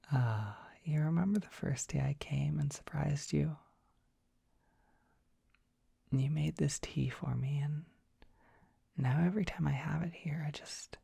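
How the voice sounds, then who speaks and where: A performer plays a dorky, gentle dragon boy in an ASMR roleplay. His voice is a very husky, breathy whisper, heavily creaky at the edges. He talks slowly and shyly, halting softly as he soothes the listener. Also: mid-range voice, no echo, studio quality, heavily acted. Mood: fond, cozy. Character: gentle, shy, dorky young dragon boy, tenderly comforting.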